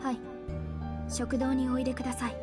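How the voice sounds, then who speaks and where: A woman plays a stiff young maid from a visual novel. Her high voice delivers brisk, formal lines with flat, expressionless deference. Loud game music sits behind it. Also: close to an everyday voice. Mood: flat, deferential. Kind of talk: Japanese game voice acting.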